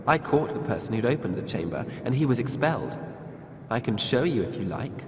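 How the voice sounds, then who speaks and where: An actor playing a cool, authoritative teenage schoolboy prefect in a video game, speaking formally with a British accent. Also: male voice, medium pitch, sing-song, brisk, darkish tone, long reverb tail, slight background noise, natural voice. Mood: smooth, inviting. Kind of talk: video game character acting.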